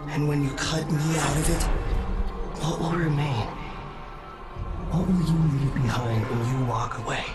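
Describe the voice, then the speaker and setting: An actor playing a cryptic, ageless supernatural being in a video game, measured, solemn and knowing. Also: male voice, fairly high pitch, steady tone, lightly acted. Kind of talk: dramatic video game monologue. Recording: loud music behind the voice. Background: loud game music.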